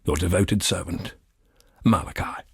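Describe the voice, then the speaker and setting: Game villain: scheming, fervent thaumaturge, husky and very breathy, grandiose, close dry sound. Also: male voice, low-pitched, no room sound, heavily acted. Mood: fervent, persuasive. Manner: slow, deliberate, grandiose. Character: arrogant, obsessive male thaumaturge, fervent and scheming. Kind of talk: dramatic scripted game monologue.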